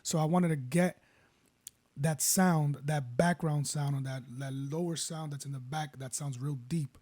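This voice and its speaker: A man with a baritone voice, casually walking viewers through making a beat and rambling as he goes. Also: measured pace. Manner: relaxed, rambling, self-correcting. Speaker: beat producer. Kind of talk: casual tutorial talk. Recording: faint music behind.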